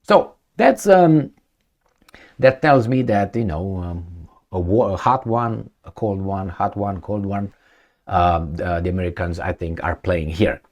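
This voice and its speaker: A man's voice: low-pitched, unhurried, a little mellow. A middle-aged man reading a news article aloud as a commentator, breaking off with sarcastic asides and stumbling over words. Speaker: political commentator.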